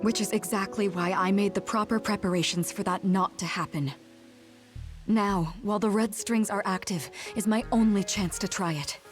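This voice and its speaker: An actress playing an earnest, composed woman in a sci-fi video game cutscene, speaking in a measured, clearly enunciated way. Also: mid-range voice, faint music behind, natural voice. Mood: solemn, explaining.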